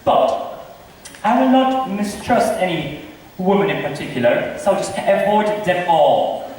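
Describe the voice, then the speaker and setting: A man's voice: sits high, big pitch swings, measured pace, a little mellow. A man acting a witty, scornful confirmed bachelor in an amateur stage comedy, with a theatrical, declaiming manner. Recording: echoey hall, distant mic, noisy.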